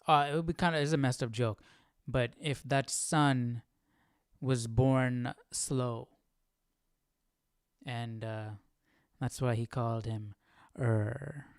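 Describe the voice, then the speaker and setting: Clear-voiced casual man hosting a stream. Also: medium pitch, fairly even intonation, slow, pauses often, no echo, studio quality. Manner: informal, joking, thinking aloud. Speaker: host of a live Bible study stream. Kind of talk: casual chat, reacting to a reading.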